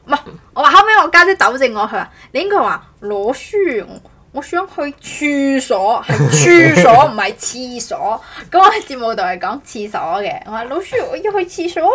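A woman co-hosting a casual podcast, telling stories in an animated, rambling way. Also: high-pitched, moderate pace. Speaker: podcast co-host. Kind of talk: casual chat.

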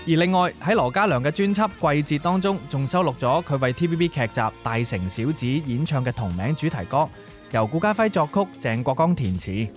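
A man's voice: on the high side, lively intonation, fairly quick. A man speaking Cantonese co-hosts a radio music show, narrating pop history in an informative style.

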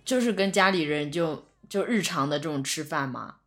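Woman co-host from Ningbo, lowish pitch, very varied intonation, conversational. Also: animated, normal speed. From Ningbo, China. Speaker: podcast co-host. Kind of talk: casual chat.

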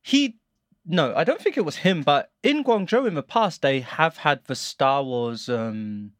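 A man's voice: fairly high pitch, lively intonation, measured pace, dry recording, studio quality. A man hosting a podcast, chatting in an easygoing way and often laughing lightly. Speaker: podcast host. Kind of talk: casual podcast conversation.

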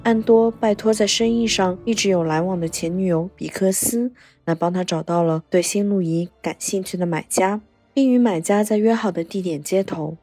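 A woman's voice: medium pitch, darkish tone, no echo. A woman hosting a podcast and narrating a scripted story recap.

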